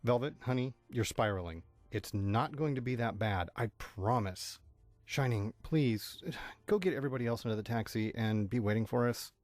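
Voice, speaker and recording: A man playing a gentle, reassuring stallion husband and father in a fan audio drama, speaking soothingly. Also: mid-range voice, moderate pace, dry recording, faint music behind, natural voice. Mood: soothing, concerned.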